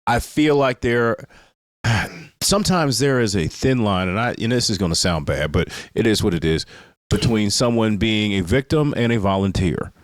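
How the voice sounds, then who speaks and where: Low-ish guy on a podcast, rambly, lots of um and you know, dry close mic. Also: lively intonation, moderate pace, no echo. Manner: rambling, acts out quotes with lots of fillers. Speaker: podcast co-host. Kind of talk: casual chat.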